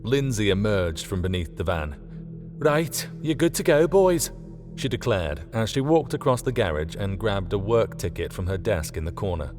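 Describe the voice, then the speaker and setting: A man's voice: low-pitched, expressive, moderate pace, few pauses. A man reading a scripted audiobook story as its narrator. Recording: long reverb, music underneath.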